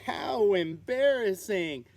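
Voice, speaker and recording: Female storybook reader: slow-paced, mid-pitched, expressive, dramatic read-aloud narration. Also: no echo. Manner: expressive, dramatic delivery with sound words.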